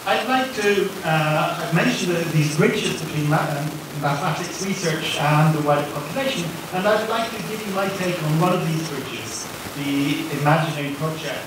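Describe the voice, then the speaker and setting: A man's voice: fairly high pitch, expressive, moderate pace, few pauses. A man from Belgium, a math teacher, giving a chatty, self-deprecating panel talk with some ums. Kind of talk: prepared conference talk with jokes. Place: large conference hall. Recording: echoey hall from far off, noisy.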